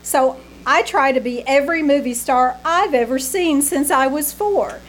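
A woman with a high voice hosts a vintage-style radio drama. She delivers a scripted star introduction from a live stage, with slight noise behind her. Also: normal speed.

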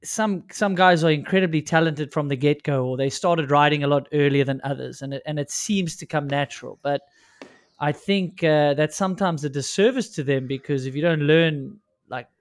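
A man's voice: on the high side, normal speed, no echo. South African man, dry close sound. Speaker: interviewer, former pro rider. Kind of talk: casual conversational interview.

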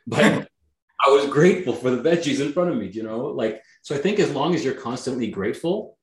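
A man from Canada whose first language is Greek, talking as a guest in an energetic, emphatic and blunt way, swearing freely. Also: medium pitch, a little fast, echoey room. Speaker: guest, real estate agent. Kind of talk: motivational interview talk.

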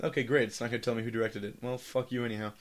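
A man hosting a casual podcast, hoarse while getting over laryngitis, talking in a loose, profane, rambling way with lots of fillers. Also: medium pitch, steady tone, racing. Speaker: podcast host.

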